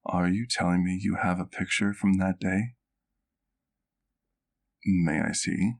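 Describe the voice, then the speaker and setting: A man playing a gentle, protective vampire doctor in an ASMR roleplay, speaking in a soft, measured, careful way. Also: sits low, fairly even intonation. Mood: concerned, troubled.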